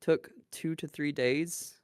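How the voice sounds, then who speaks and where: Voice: medium pitch, fairly even intonation, slow, clean tone, no echo, studio quality. A giggly, self-mocking podcast co-host who swears casually while chatting.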